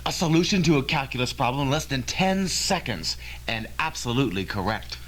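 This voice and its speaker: A man's voice: medium pitch, lively intonation, brisk, fairly bright tone. A man playing a brash, impatient, arrogant physicist in an old-fashioned radio drama, insistent and emphatic. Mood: smug.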